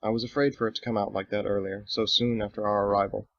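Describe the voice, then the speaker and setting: A man's voice: lowish voice, little pitch movement, quick pace, a bit bright, no echo, lo-fi sound. A man voicing a stern female soldier who speaks formally and in clipped phrases. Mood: grave.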